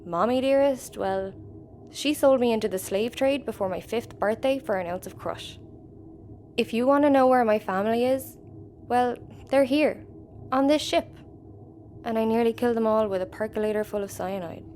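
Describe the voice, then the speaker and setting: An actress playing a tough, wry, guardedly tender spaceship crew member in an audio comedy. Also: female voice, fairly high pitch, normal speed, a little mellow, natural voice. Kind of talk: sci-fi audio comedy acting. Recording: faint background noise.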